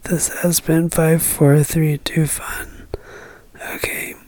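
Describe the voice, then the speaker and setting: A radio host signing off a show late at night, hoarse and losing their voice, rambling and self-deprecating as they trail off. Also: medium pitch, little pitch movement, slow pace, a little mellow, no room sound, whispered. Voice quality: froggy.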